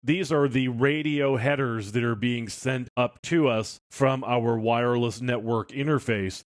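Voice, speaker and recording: Male tutorial instructor, middle-pitched voice, informal walk-through delivery, very dry sound. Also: a little slow, no echo. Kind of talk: screencast tutorial, explaining as he demonstrates.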